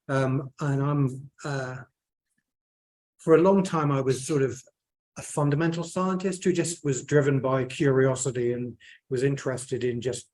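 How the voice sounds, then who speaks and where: A man's voice: mid-range voice, darkish tone. A man, speaking at a panel as a plant scientist, hesitant and full of fillers and restarts. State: croaky voice. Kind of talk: unscripted panel remarks.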